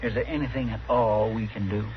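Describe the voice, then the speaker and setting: An actor playing a crotchety but soft-hearted town doctor in a 1950s radio western. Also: male voice, on the low side, fairly even intonation, a little fast, natural voice. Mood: subdued, gruff. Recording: muffled, noisy old radio recording.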